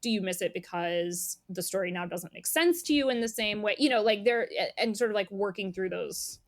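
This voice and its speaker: A woman's voice: mid-range voice, moderate pace, no echo, studio quality. A woman speaking as an editor, explaining things in casual conversation and often acting out imagined remarks. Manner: conversational, illustrates points with imagined quotes. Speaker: magazine editor being interviewed.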